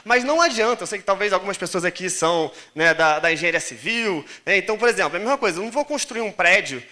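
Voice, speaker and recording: A Brazilian man speaks as a conference lecturer in an informal, explanatory style. His voice sits high for a man and has a forceful, bright edge. He moves along quickly, with colloquial phrasing and frequent little check-in questions. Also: animated. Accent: Brazilian Portuguese. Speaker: conference speaker and course instructor.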